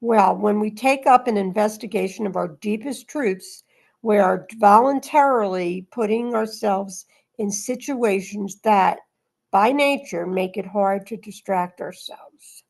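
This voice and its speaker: A woman leads a spiritual reading and discussion group. Her voice sits at a middle pitch with a noticeable gravelly fry, and she speaks as an informal host. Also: a little slow, a little mellow.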